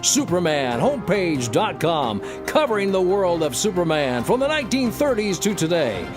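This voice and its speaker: A male announcer delivers scripted promo copy with big, swooping intonation. Music plays clearly behind his dramatic trailer-style read. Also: high-pitched, very animated, moderate pace.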